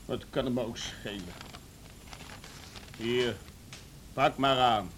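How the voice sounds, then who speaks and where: An actor playing a gruff, sullen young countryman in a radio drama, on a noisy old recording. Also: mid-range voice, takes it slowly, fairly bright tone.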